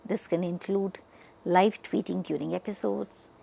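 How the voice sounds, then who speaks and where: A woman with an Indian accent delivering an educational lecture in a deliberate way, stressing the starts of phrases and letting the ends trail off. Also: fairly low pitch, fairly even intonation, gravelly, mellow, slightly rough, poor audio. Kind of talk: educational lecture, partly read from notes.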